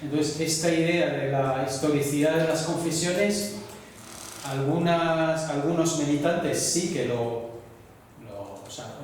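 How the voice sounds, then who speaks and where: A man lecturing to a class, his voice a little high for a man, pausing to check understanding with short tag questions. He is heard from a distance in a large, echoey room with a long reverb and a faint hiss in the background. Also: darkish tone. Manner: explanatory, frequent "¿no?" tag questions. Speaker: university lecturer. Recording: echoey hall, voice from far off.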